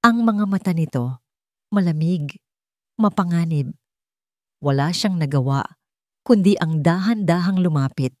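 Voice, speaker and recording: Filipino lady reading a dramatic story, lowish voice, really dry sound. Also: lively intonation, measured pace, long pauses, mellow, dry recording. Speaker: narrator. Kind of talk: dramatic scripted story narration.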